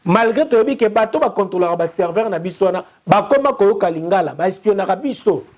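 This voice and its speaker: Impassioned young Congolese man, high voice. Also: lively intonation, fairly quick. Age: young adult. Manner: urgent, insistent, repetitive appeals. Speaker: news commentator. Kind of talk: impassioned commentary.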